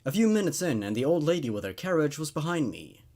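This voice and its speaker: A man's voice: on the high side, big pitch swings, fast talker, darkish tone. A man hosting a show where he reads horror stories aloud with dramatic narration and laid-back commentary.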